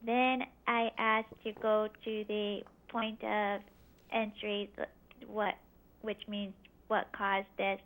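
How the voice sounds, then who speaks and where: Woman, interview guest, flat even voice, short plain answers, recounting her experience. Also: medium pitch, slow, low-quality recording.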